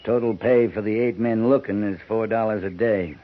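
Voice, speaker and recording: An actor playing a stern cavalry captain who talks in clipped, commanding lines. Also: male voice, on the low side, normal speed, natural voice. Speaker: lead character. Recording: muffled 1950s radio broadcast with hiss.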